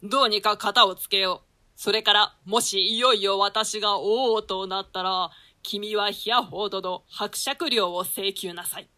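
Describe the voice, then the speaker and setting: A woman's voice: medium pitch, steady tone, unhurried, pushed and bright. A woman performing a sly, ruthless duke who plots for power, in a theatrical Shakespeare reading. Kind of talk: theatrical Shakespeare reading in archaic Japanese translation.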